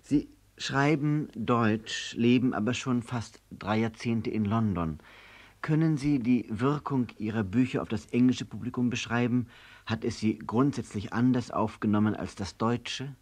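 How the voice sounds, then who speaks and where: A man's voice: mid-range voice, unhurried, a little mellow. A man asking polite, formal interview questions on an old 1960s broadcast recording.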